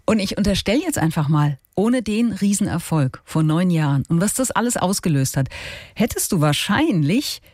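A woman hosting a radio interview in the studio, asking brisk, friendly questions that often end in a tag question. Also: on the low side, expressive, a little mellow, no echo. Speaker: radio host.

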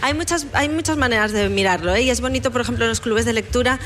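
A woman's voice: on the high side, fast talker, fairly bright tone. A woman speaking as a guest novelist in an interview, answering conversationally and repeating words for emphasis. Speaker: guest novelist discussing their book.

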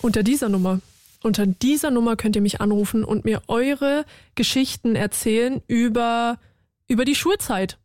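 Young female radio host, slightly high, dry studio sound, casual call-in chat. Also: normal speed, no room sound. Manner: chatty, lively, slangy and warm toward callers. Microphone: studio mic.

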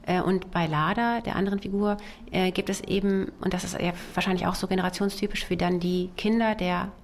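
A woman's voice: lowish voice, steady tone, a little fast, a little hiss or hum. Hesitant, thoughtful woman novelist. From Germany, child of Soviet immigrants. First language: Russian. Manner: thoughtful, hesitant, many fillers and restarts. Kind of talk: literary interview.

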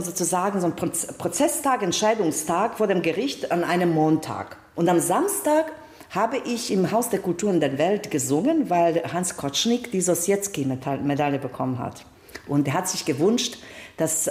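Woman, middle-aged, Balkan accent, slightly deep voice, expressive interview storyteller, roomy echoing sound. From Serbia, former Yugoslavia. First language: Serbo-Croatian. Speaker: guest, singer, actress and author.

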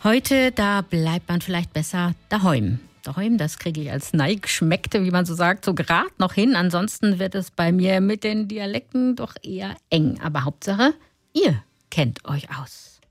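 Lively woman radio presenter. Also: on the low side, a little slow, no echo, faint music behind. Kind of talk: scripted radio segment. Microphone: studio mic.

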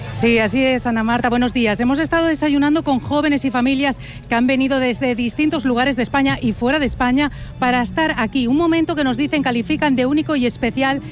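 A woman reporting live on location, brisk and friendly with the people she interviews. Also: high-pitched, soft background music. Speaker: street reporter.

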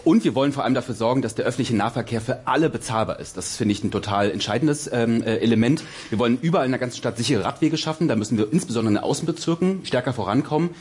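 A man's voice: medium pitch, rapid-fire, few pauses, darkish tone, slightly rough. A man making emphatic points in a political debate. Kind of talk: debate statement.

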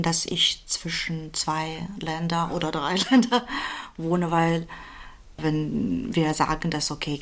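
A woman answers an interview in slow, unhurried German. Her voice sits a little low, and she gropes for words with hesitant, thoughtful phrasing. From Crimea. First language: Russian. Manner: thoughtful, hesitant, non-native grammar.

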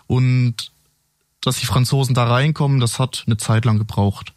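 A man who is a native speaker of both French and German, a police officer recounting events in a measured, explanatory way with pauses, as an interview guest. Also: medium pitch, fairly even intonation, a little mellow, no room sound, clean studio sound. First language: French and German, bilingual. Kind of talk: recounting a case in a studio interview. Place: studio.

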